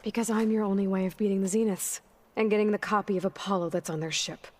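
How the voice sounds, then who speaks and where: A woman's voice: medium pitch, fairly even intonation, quick pace, lightly acted. Blunt, defiant young American warrior woman, as acted. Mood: defiant, confident. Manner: blunt, assertive. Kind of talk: video game cutscene acting.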